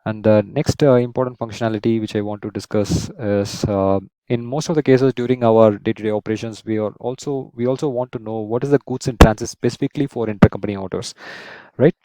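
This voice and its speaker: A man in his thirties explaining software step by step as a tutorial host, often checking in with a "right?". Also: fairly low pitch, steady tone, fast, no echo, poor audio. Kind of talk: screen-share software tutorial, semi-scripted explanation.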